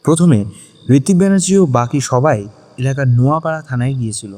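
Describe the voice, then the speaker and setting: A man's voice: medium pitch, lively intonation, fast, warm tone. A man narrating a scripted suspense story dramatically in Bengali. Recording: long reverberant hall echo.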